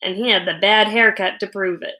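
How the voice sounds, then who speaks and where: A woman reading a children's storybook aloud as a teacher would, with expressive narration. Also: medium pitch, brisk, low-quality recording. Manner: animated, acts out characters' lines.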